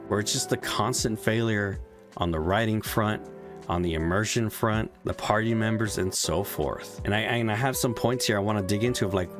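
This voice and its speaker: Man, lowish voice, casual game review, blunt and ranty, faint music underneath. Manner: ranting, frequent swearing and restarts.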